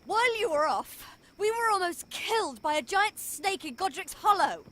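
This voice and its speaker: An actress playing a sharp, exacting young British witch, forthright and articulate in a dramatic game scene. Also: very high-pitched, sing-song, moderate pace, exaggerated voice. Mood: furious. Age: young adult. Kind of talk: dramatic film-style game acting.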